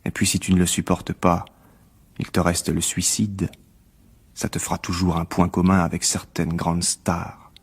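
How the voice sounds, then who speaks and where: A man's voice: low-pitched, monotone, measured pace. A man acting in a French radio drama as a jealous, calculating rival, condescending, insinuating and smugly cruel. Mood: cruel gloating.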